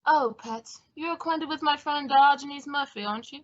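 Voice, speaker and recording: A woman playing an effusive, affectedly polite gentleman friend in an old-time radio drama. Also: sits high, moderate pace, lightly acted. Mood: delighted. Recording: dull lo-fi audio.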